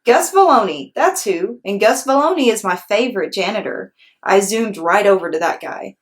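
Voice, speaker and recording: A woman narrating a children's storybook as a read-aloud storyteller, animated and clearly phrased for young listeners. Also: medium pitch, normal speed.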